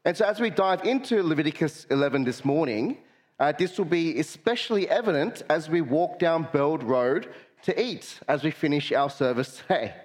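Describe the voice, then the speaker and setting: A man's voice: fairly high pitch, expressive, moderate pace. A young man, a guest preacher with a cold that makes him slightly nasal, giving a friendly sermon full of filler uhs.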